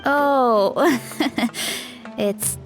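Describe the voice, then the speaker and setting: A woman playing a gentle, naive young warrior in a game, guileless and hesitant in manner. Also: a little high, extremely slow, music in the background. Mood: wondering.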